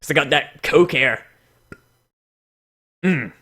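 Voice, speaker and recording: A man hosting casual, mocking commentary and dramatic readings, crude, exclamatory and quick to swear. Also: a little high, sharp tone, slightly rough. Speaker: host reading fan characters aloud.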